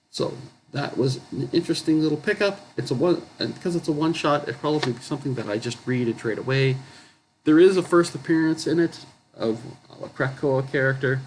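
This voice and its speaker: A man chatting casually about his comic book haul, his voice going hoarse and rough, clearing his throat often and correcting himself as he rambles. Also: medium pitch, a little hiss or hum, rough recording. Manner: rambling, self-correcting, chuckling. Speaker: comic book collector vlogger.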